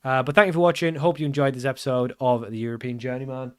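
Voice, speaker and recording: A man commenting casually over his football management game, getting loud and excited at the big moments. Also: medium pitch, quick pace, clean tone. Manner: excitable, shouts at big chances and goals. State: losing his voice. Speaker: game streamer.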